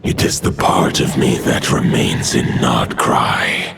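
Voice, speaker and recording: An actor playing an ancient, solemn wolf god in a video game, speaking gravely and formally in a gravelly, rumbling voice. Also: male voice, takes it slowly, heavy background noise, heavily acted.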